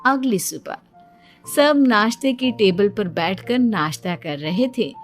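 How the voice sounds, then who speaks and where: A woman's voice: mid-range voice, lively intonation, slow pace, faint music behind. A woman reading scripted narration for a story in a storytelling style.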